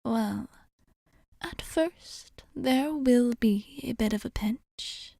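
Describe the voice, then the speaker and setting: A woman playing a courteous, seductive vampire bartender in an ASMR roleplay, softly and breathily reassuring the listener in polite, formal phrasing, close to the mic. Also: fairly high pitch, no room sound. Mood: soothing. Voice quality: soft, breathy, often near whisper.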